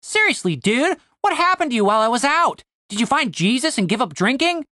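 A woman's voice: a little high, very animated, brisk, a bit bright, dry recording, clean studio sound, voice pushed up. A woman voicing a sarcastic, exasperated male halfling adventurer in a fantasy audiobook, crude, whiny and argumentative. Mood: defensive, mocking.

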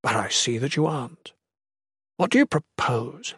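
A stern and earnest mage voiced by a male narrator speaks formally and deliberately in a fantasy audiobook. His mid-pitched voice is recorded close and dry. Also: big pitch swings, a bit bright, husky, no room sound, heavily acted. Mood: concerned. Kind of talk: fantasy audiobook character reading.

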